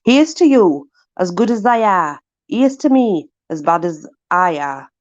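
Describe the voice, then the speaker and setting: Slow-paced Yorkshire woman reading aloud. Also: lowish voice, sing-song, dry recording, dull audio. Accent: Yorkshire English. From Yorkshire, England. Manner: informal, exclamatory asides to a companion. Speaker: host reading aloud from a book. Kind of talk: casual chatty reading of sayings and verse.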